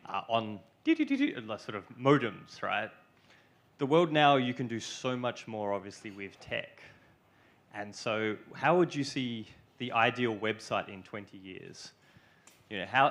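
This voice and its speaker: A man's voice: lowish voice, fairly bright tone. A man in an audience asking an informal question, stumbling a little as he goes. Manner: casual, stumbling. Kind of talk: informal question at a live event. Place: indoor club event room.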